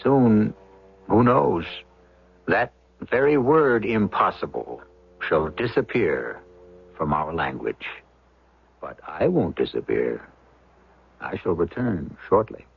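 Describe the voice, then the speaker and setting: An American man hosting a radio drama with portentous, theatrical scripted narration and deliberate, rhetorical pauses. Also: deep voice, sing-song, creaky, a little hiss or hum. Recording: dull old radio broadcast, faint music behind.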